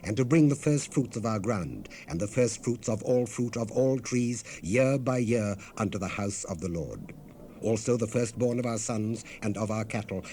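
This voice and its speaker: A man narrating scripture in a solemn, measured, deliberate scripted reading, heard on an unprocessed 1970s cassette rip. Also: on the low side, darkish tone, slight background noise.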